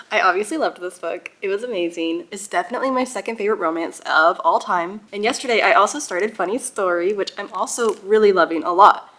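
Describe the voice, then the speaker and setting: A woman's voice: mid-range voice, a bit bright. A young woman chatting casually to viewers as a book vlogger, with a gushing, giggly and emphatic manner. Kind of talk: casual reading vlog chat.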